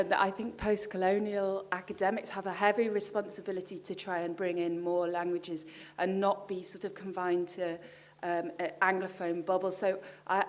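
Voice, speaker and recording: Earnest woman academic on a panel. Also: medium pitch. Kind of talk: panel discussion. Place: large festival stage.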